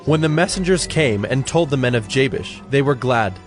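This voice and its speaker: A man's voice: mid-range voice, animated, moderate pace. Solemn male scripture narrator over music.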